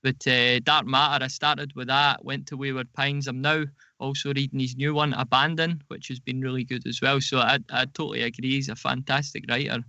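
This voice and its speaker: A man's voice: mid-range voice, flat delivery, fairly quick, few pauses, sharp tone, no room sound, dull audio. A man speaking casually as a podcast guest, in a wry, self-deprecating way. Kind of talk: casual chat.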